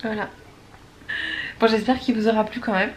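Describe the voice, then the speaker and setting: A woman's voice: fairly high pitch, fairly even intonation, moderate pace, low-quality recording. A chatty woman filming herself at home on a handheld camera, her voice thick and stuffy from a head cold. She rambles along casually and laughs often, with faint background noise. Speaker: vlogger. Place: home, indoors.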